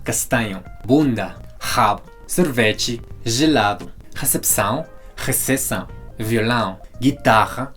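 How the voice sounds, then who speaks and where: A man with a slightly mixed accent narrates a scripted language lesson at an ordinary, middling pitch. He steps through example words one by one in an explanatory way. Quiet music sits faintly behind his voice. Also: slow. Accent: mix of Portuguese, Brazilian and Mexican influences. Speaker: language video host.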